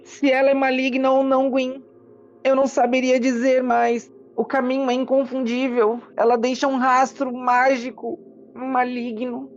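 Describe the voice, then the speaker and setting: A man's voice: very high-pitched, completely flat delivery, normal speed, highs cut off, pitched above the performer's own voice. A man voicing a squirrel spirit with a solemn, imploring way of speaking in a fantasy tabletop game. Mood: solemn, uncertain. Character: desperate squirrel spirit delivering a solemn plea.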